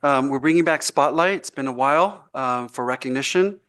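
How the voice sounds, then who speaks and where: A man's voice: medium pitch, fairly quick, clear, resonant tone. Man introducing a board recognition. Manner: relaxed, with frequent ums. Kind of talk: presenting a recognition at a public meeting. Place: school board meeting room.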